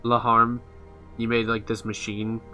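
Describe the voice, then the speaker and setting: A man with a bright, forward voice at an ordinary pitch hosts a gameplay video. He reacts casually and talks back wryly to characters, with faint music underneath. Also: monotone, a little slow. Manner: informal, wry back-talk to characters. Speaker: gaming video host, player. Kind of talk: casual live gameplay commentary.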